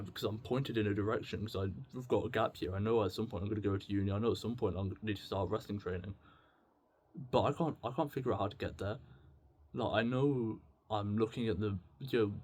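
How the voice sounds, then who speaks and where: A man's voice: on the low side, steady tone, fairly quick, darkish tone. A man in his late teens talking casually and confessionally to camera, rambling and stammering as he thinks aloud. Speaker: video blogger.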